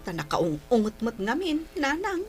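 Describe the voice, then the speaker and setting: A woman's voice: a little high, sing-song, moderate pace, soft background music. A woman playing a concerned, exasperated grown daughter in a radio drama, complaining earnestly with a Filipino accent. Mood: worried. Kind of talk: Ilocano radio drama acting.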